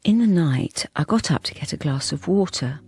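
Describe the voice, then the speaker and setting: British wife, middle-aged, radio drama, intimate, hesitant, anxious, slightly low pitch. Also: female voice, expressive, normal speed, a little mellow, breathy edge, no echo, exaggerated voice. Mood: haunted, confiding. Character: troubled middle-aged wife, fearful, quietly insistent. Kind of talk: intimate first-person radio drama narration and dialogue.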